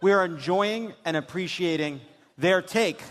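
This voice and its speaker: A man's voice: high voice, measured pace. A man hosting a live comedy political show on stage, with a wry, emphatic delivery. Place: on stage in a large theater. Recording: big reverberant theater, some noise.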